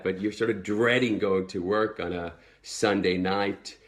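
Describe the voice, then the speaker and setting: A Swedish man in his twenties with an American accent, talking earnestly and motivationally to followers in a live video, with many fillers. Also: on the low side. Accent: American, learned in the United States. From Sweden. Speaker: network marketing entrepreneur.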